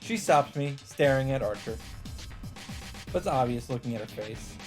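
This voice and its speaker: A man reading a visual novel script aloud as dramatic narration, with deliberate, drawn-out phrasing. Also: mid-range voice, animated. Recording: music under the voice. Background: music.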